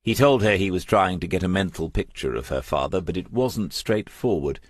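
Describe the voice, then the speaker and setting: A man reading scripted crime fiction as an audiobook narrator, in a measured, understated way. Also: sits low, expressive, no room sound, studio quality.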